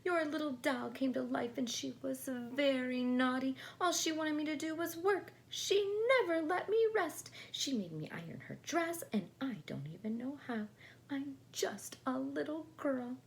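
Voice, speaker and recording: Woman doing a plaintive little girl, raised pitch. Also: lively intonation, measured pace, vocal fry, exaggerated voice. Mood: tearful complaining. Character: weary little girl, eager to please, easily upset.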